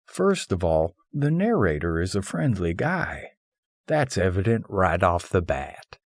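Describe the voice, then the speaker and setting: Low, husky male audiobook narrator. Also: very animated, unhurried, no echo, clean studio sound. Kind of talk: scripted audiobook narration of a humorous philosophical essay.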